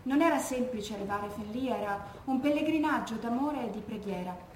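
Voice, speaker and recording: An actress playing an educated, composed woman giving measured testimony about grief for her father in a theatre monologue. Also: on the high side, darkish tone, natural voice. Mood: solemn, pained. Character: educated daughter recalling her father's wartime death, composed, grieving. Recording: echoey room with background noise.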